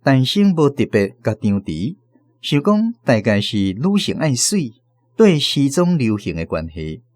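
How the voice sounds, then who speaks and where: A man's voice: medium pitch, big pitch swings, unhurried, a little mellow, no echo, clean studio sound. A man with a Taiwanese accent reading scripted story narration for a radio drama.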